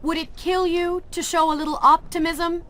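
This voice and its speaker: Spirited high-voiced young heroine. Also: female voice, little pitch movement, moderate pace, pushed and bright. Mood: brisk, teasing. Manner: direct, challenging. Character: spirited, caring young heroine, impatient and teasing. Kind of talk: video game cutscene acting. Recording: faint ambient noise behind.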